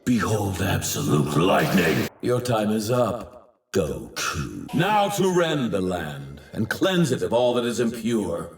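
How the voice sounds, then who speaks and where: An actor playing an arrogant, self-righteous villain god in an anime dub, declaiming in a grandiose, archaic and theatrical manner. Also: male voice, lowish voice, huge pitch swings, measured pace, vocal fry, faint music behind, full character voice. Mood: grandiose, menacing. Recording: roomy, distant, faint effects noise.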